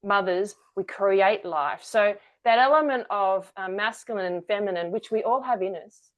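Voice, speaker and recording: A woman's voice: mid-range voice, moderate pace. Candid woman telling her story. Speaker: guest, energy-work founder. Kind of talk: conversational interview.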